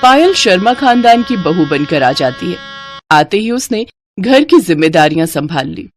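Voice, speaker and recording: A woman's voice: fairly low pitch, very animated, normal speed, faint music behind. A woman narrating a scripted children's moral story as the storyteller.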